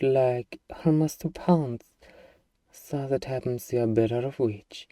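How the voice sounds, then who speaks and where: A man performs as a pint-sized demon in a humorous ASMR fantasy, with a very mellow, dark tone. He blusters self-importantly, then stumbles and hesitates as his insecurity shows. The sound is dry, with the voice a little away from the mic. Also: medium pitch, no echo, distant mic, lightly acted. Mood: eager, hesitant. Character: small, eager, boastful but insecure male demon. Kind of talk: ASMR fantasy comedy roleplay addressed to listener.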